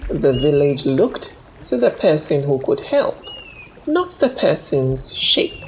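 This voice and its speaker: A woman narrating a scripted bedtime folktale in a calm, measured manner. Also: low-pitched, a little hiss or hum, poor audio. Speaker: storyteller.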